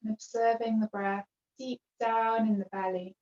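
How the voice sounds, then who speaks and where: A woman teaching yoga, guiding a relaxation practice with gentle, unhurried phrasing and long pauses. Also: a little high, little pitch movement, roomy sound. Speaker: yoga teacher leading a guided relaxation class. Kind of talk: guided meditation instruction. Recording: dull, lo-fi video call sound.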